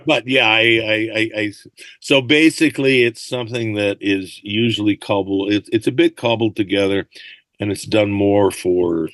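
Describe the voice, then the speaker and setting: A man's voice: lowish voice, fairly even intonation, moderate pace, a bit bright, no echo. An older man chatting as a podcast guest, telling rambling stories and dropping into accent impressions now and then. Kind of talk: casual interview chat.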